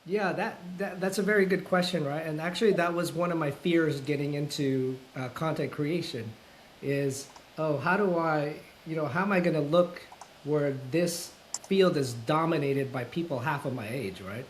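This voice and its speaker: A man's voice: a little high, normal speed, slight background noise. A man in his fifties, a guest in a casual interview, speaking thoughtfully and hesitantly with many fillers and restarts. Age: 50s. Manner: self-described introvert. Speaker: guest, full-time content creator.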